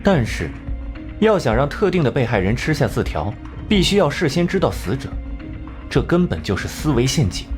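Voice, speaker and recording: A young male genius detective from an animated crime drama, played by a man, cold and condescending. His mid-pitched voice swings expressively as he lectures with sardonic arrogance, with clear music behind him. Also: normal speed, close to an everyday voice. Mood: triumphant, explanatory. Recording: music under the voice.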